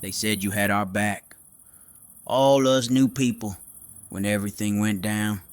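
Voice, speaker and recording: A man's voice: on the low side, steady tone, measured pace, smooth, no echo, lightly acted. A man playing a terse, guarded survivor in an audio drama. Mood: guarded, probing.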